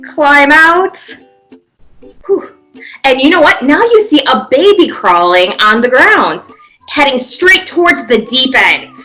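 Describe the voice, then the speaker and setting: A woman's voice: high-pitched, sing-song, takes it slowly, pushed and bright, soft background music, low-quality recording. A woman guiding young children through pretend play, giving simple encouraging step-by-step prompts with playful sound effects. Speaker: children's museum presenter leading a pantomime activity.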